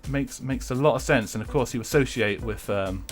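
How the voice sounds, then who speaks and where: A man's voice: mid-range voice, moderate pace, soft background music. A young English man chatting casually with his viewers while streaming a racing game, swearing freely and laughing often.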